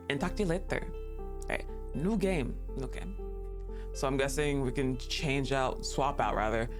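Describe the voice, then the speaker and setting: A streamer chatting casually and thinking aloud while playing a game, laughing often and talking back at the screen. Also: medium pitch. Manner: thinks aloud with fillers and restarts.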